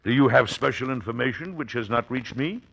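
A man's voice: mid-range voice, brisk, vocal fry, a bit bright, husky. An actor playing an exasperated but dignified older father and president in an old-time radio drama, speaking formally and with dry patience. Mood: firm, dignified. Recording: muffled vintage radio recording.

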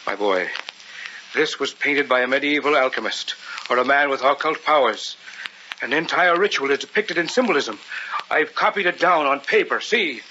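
Bright-voiced, assured occult scholar. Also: male voice, mid-range voice, lively intonation, normal speed, a little hiss or hum. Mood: intent. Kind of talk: old-time radio drama acting. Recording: muffled old radio recording.

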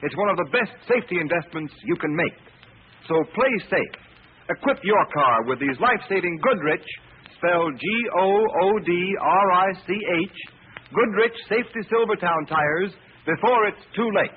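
A man's voice: sits high, lively intonation, moderate pace. A man announcing a scripted 1930s radio advertisement with an emphatic, earnest sales delivery. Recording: tinny, faintly noisy old radio recording.

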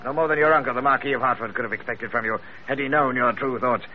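An actor playing a theatrical, gloating gentleman blackmailer in florid, formal speech, in classic radio drama style. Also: medium pitch, steady tone, fast, close to an everyday voice. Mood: cold, accusing. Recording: muffled old radio broadcast, faint hiss.

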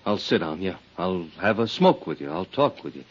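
An actor playing a bitter, wheedling ex-convict in a 1950s radio drama, speaking in an aggrieved, halting, insistent way. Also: male voice, low-pitched, big pitch swings, slight background noise. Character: bitter, wheedling male ex-convict swindler, middle-aged. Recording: muffled 1950s radio recording.

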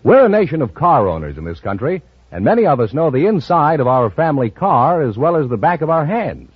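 Husky, very animated American radio pitchman. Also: fairly quick, faint music behind. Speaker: commercial announcer. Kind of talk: scripted 1950s radio sponsor pitch. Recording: dry, dull vintage radio recording.

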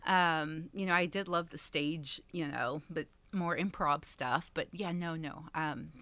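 A woman's voice: deep voice, measured pace, a bit bright, no room sound. A woman in her thirties from Texas, a community center director, chatting as a guest with frequent laughs and fillers. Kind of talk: casual interview chat.

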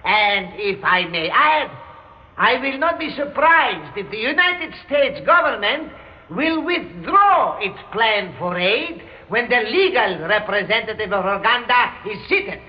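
A man's voice: sits high, sing-song, slow pace, full character voice. A man playing an indignant diplomat from the Eastern bloc, giving a formal, accusatory speech in a classic radio drama. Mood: indignant, accusing. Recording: dull old radio recording.

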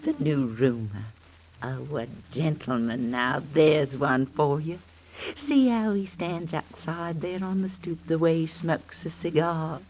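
A woman playing a superstitious, coaxing older slum woman of Victorian London in an old-time radio drama, in a theatrical, drawn-out way. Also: low-pitched, a little mellow. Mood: soothing, sly. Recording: noisy vintage radio recording.

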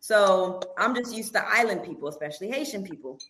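A woman's voice: medium pitch, expressive, fairly quick, fairly bright tone, slight background noise. A woman from Miami in casual group chat, choosing her words carefully.

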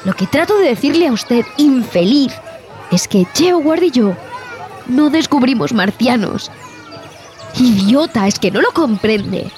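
A woman playing a traumatized expedition survivor in a horror audio drama, telling her story in a haunted, halting, dramatic way. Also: on the high side, lively intonation, a little mellow, a faint crowd. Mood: indignant, agitated. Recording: music bed behind the voice.

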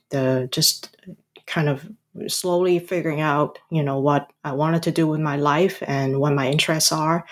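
A middle-aged woman from Hong Kong, a historian being interviewed about her book, answering haltingly with many ums and restarts. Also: sits low. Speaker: guest author, historian.

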